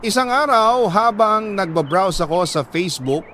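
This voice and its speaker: A man reading a listener's love letter as a radio storyteller, in sentimental dramatic narration with deliberate pauses. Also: sits high, lively intonation, sharp tone, slight background noise.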